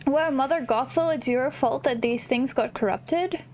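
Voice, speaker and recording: A woman's voice: high-pitched, moderate pace, darkish tone, a little hiss or hum. A woman hosting a gaming video, commenting casually as she plays and reads the dialogue aloud. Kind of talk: casual game playthrough, reading dialogue aloud.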